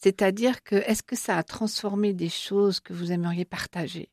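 A woman hosting an interview, asking gentle questions and encouraging her guest with short affirmations. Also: medium pitch, fairly even intonation, normal speed, no room sound, clean studio sound. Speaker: interviewer host.

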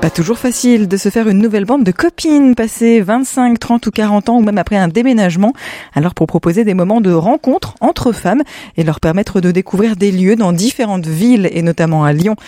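A woman radio host introducing a guest and asking interview questions, with a smooth, measured presenter delivery. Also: medium pitch, warm tone, no echo, clean studio sound, soft background music. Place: radio studio. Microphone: studio microphone.

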